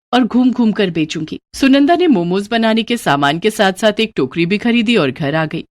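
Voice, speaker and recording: A woman narrating a children's cartoon moral story in steady, simple scripted storytelling. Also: mid-range voice.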